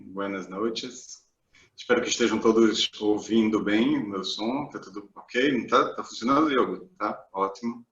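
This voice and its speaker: A man's voice: lowish voice, moderate pace, fairly bright tone. Male guest speaker, Brazilian accent, casual lecture tone, echoey room, dull lo-fi call. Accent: Brazilian Portuguese. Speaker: guest speaker, film curator. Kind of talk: lecture over video call.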